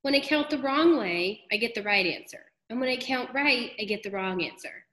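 A woman's voice: fairly high pitch, expressive, moderate pace, a bit bright, voice pushed up. A stubborn young schoolboy from a storybook, acted out by a woman, protests and pleads in a raised voice. The sound is roomy and echoey. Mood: frustrated. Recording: echoey room.

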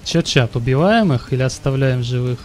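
A man's voice: medium pitch, expressive, fairly quick. A man in his thirties streaming a game from home, joking with his chat and talking at a desk mic. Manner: joking, talks to chat, puts on broken English and mock greetings. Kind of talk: live game stream commentary. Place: home room at a computer.

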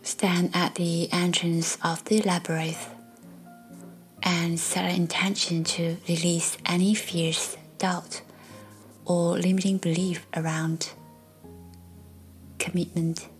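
Low, very slow, breathy female meditation guide. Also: little pitch movement. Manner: gentle, measured, with long pauses between short phrases. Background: faint background music.

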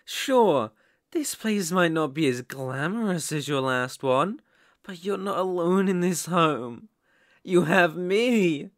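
A man's voice: high voice, expressive, slow, a bit bright, dry recording, clean studio sound, exaggerated voice. A man voicing a sadistic, possessive kidnapper in an ASMR roleplay, taunting and menacing with a mock-sweet manner. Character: sadistic, possessive male psycho yandere kidnapper.

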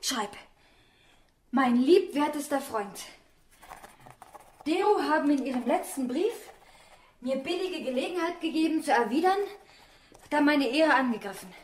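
A woman's voice: high voice, animated, unhurried, long pauses, a little hiss or hum. A woman playing a willful, agitated young daughter in love in a German radio drama. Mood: faltering, earnest. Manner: agitated, pleading.

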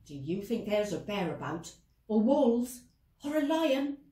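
A woman's voice: medium pitch, big pitch swings, a little slow, dark tone, distant mic. A woman voicing a worried young male shepherd who talks in a trembling, anxious way, recorded in an echoey room away from the mic.

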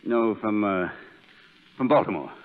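A man's voice: lowish voice, measured pace, lightly acted. A man playing an injured, secretive stranger in 1930s radio drama, with a curt, formal manner. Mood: tense, impatient. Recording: muffled, phone-like 1930s radio recording.

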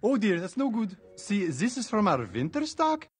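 An actor playing a big, cheerful trading post keeper in an animated film, with a sing-song Scandinavian accent and a politely insistent salesman's manner. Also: high voice, normal speed, breathy edge, full character voice. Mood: chirpy, insistent. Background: music.

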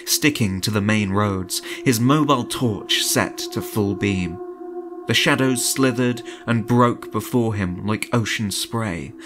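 A man narrating a horror story in a dramatic, suspenseful and deliberate audiobook style. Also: fairly low pitch. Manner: suspenseful, deliberate, theatrical delivery. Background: music.

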